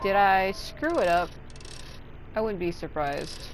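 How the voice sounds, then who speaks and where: A woman's voice: medium pitch, lively intonation, takes it slowly, over music. A woman giving casual, unscripted gameplay commentary, thinking aloud in a rambling way and swearing casually. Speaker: video game streamer.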